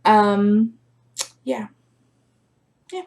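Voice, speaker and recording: A woman vlogger chatting casually to her viewers, rambling with lots of fillers, her voice a little rough from a recent sore throat. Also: medium pitch, fairly even intonation, extremely slow, a little mellow. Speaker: crafting vlogger. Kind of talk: casual chat to camera.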